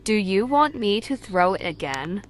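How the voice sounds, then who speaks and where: Storybook witch, performed by a woman: bossy, excitable, exclamatory, medium pitch, taking her time. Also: a little slow, a little hiss or hum. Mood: cheerful, praising. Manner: exclamatory, emphatic. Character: excitable witch, fussy and bossy pet owner.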